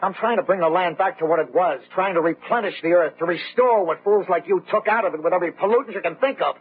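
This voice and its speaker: An actor playing an idealistic, stubborn farmer in a radio drama, earnest, pleading and insistent. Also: male voice, sits high, fast, slight background noise, lightly acted. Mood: urgent, protesting. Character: idealistic farmer son-in-law, earnest, stubborn, conscience-stricken. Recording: tinny, phone-like old radio sound.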